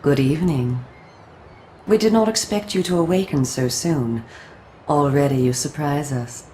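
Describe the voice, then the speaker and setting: An actress voicing a solemn, coolly persuasive female vampire resistance agent in a video game, speaking in a formal, measured, theatrical way. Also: low-pitched, mellow, echoey room, slight background noise, poor audio. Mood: calm, reassuring. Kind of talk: scripted video game cutscene acting.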